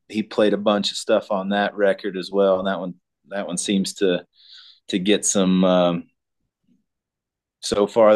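Laid-back low-voiced man from Colorado. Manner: laid-back, halting, many ums. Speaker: guest singer-songwriter. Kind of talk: casual interview chat.

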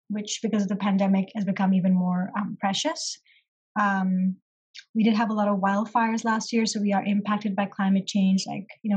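A middle-aged woman from Pakistan speaks over a slightly muffled remote call. Her voice is a little husky and sits at an ordinary pitch. She talks in a thoughtful, unhurried way, full of ums and gentle pauses. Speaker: therapist, interview guest. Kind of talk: casual interview conversation.

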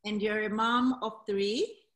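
Woman doing a podcast, introducing and interviewing, regular mid pitch voice. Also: steady tone, takes it slowly, a bit bright. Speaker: podcast host.

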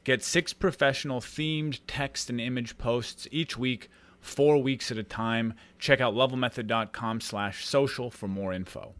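A man's voice: mid-range voice, steady tone, a little slow, a bit bright, no room sound. A man reading a scripted ad in a punchy, promotional way. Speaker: ad announcer. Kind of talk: scripted ad read.

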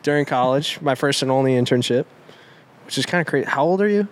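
A man's voice: mid-range voice, animated. Joking podcast host man. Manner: joking, quick-talking host. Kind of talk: casual chat. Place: large studio.

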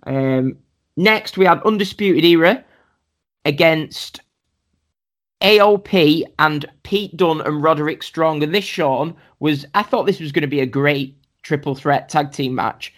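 A host talks through a wrestling show in a loose, chatty podcast style. The voice sits at an ordinary middle pitch and keeps turning to the co-hosts for agreement. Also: unhurried. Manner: chatty, rundown of matches with asides to co-hosts. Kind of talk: casual wrestling podcast chat.

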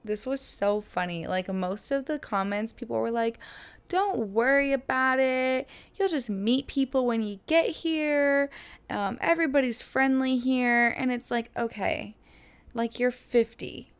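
Chatty young woman, smooth voice. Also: a little high, a little slow. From Florida, USA. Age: 20s. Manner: chatty, animated, lots of "like" and laughs. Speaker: vlogger. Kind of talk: casual storytelling vlog.

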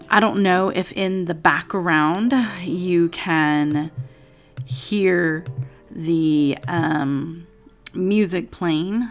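Raspy woman, forties, lowish voice, rambling casual monologue, faint music in the background. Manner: rambling, meandering with many fillers. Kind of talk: casual personal vlog monologue. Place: home with TV music in another room.

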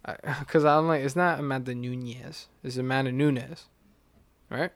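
Excitable, foul-mouthed man chatting. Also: mid-range voice, a little slow, no echo. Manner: swears freely, excitable, rambling with restarts. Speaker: podcast co-host. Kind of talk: casual chat about fights.

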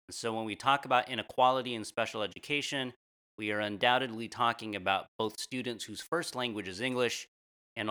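A man's voice: fairly low pitch, sharp tone. An American man reading a scripted podcast introduction as a co-host.

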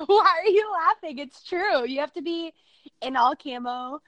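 A woman's voice: sits high, lively intonation, slow pace, fairly bright tone, dry recording. A woman with a Minnesotan accent co-hosting a podcast, chatting in an upbeat, jokey way. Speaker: podcast co-host. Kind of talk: casual chat.

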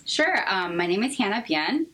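A young adult American woman talking candidly as an interview guest, thoughtful and halting in her delivery. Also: medium pitch, big pitch swings, fairly bright tone. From United States, raised in Seattle.

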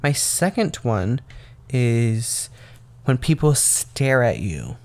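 Gravelly-voiced young man rambling casually. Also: medium pitch, sing-song, slow pace, a little mellow. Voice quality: slightly raspy, gravelly. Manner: rambling, meandering talk full of "um" and "like". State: tired from waking very early. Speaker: podcast host. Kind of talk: casual solo podcast monologue.

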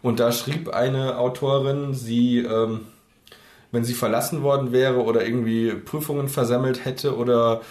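A man's voice: mid-range voice, steady tone, moderate pace, darkish tone. A man co-hosting a casual podcast chat who rambles, thinking aloud with many fillers. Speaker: podcast co-host. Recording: roomy echo.

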